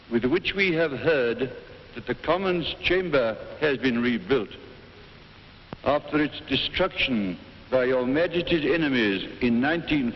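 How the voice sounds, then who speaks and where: A British man giving a formal ceremonial address in stately, measured phrases. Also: mid-range voice. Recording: echoing large hall, dull hissy archive film.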